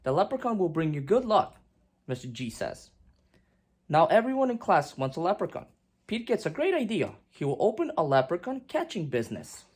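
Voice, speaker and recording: A woman reading a children's picture book aloud in an animated, expressive way with emphatic swings and hushed drops. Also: lowish voice, moderate pace, slightly rough.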